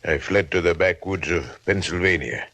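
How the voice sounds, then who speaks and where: An actor playing a forty-two-year-old killer, talking slowly and with menace in a 1950s radio drama. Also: male voice, low voice, pushed and bright, dry recording, exaggerated voice. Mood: grim. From Ireland. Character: burly Irish killer, forty-two, brutal. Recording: old radio broadcast with faint hiss.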